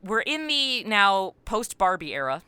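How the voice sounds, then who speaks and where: Bright, very crisp-voiced middle-aged woman. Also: mid-range voice, big pitch swings, a little slow, dry recording. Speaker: comedy writer, podcast guest. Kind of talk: casual promotional interview chat.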